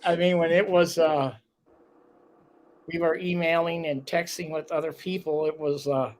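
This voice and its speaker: A man's voice: on the high side, flat delivery, normal speed, a little hiss or hum, rough recording. A man hosting a local talk show, chatting casually with a guest and rambling with lots of fillers.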